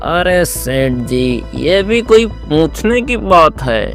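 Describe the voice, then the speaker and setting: Guy doing a sly greedy village chief, fawning and theatrical, slow talker, cartoon story. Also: fairly high pitch, sing-song, a bit bright, faint music behind, heavily acted. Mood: smooth, reassuring. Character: greedy, sly village headman, oily and two-faced. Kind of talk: Hindi moral story cartoon dubbing.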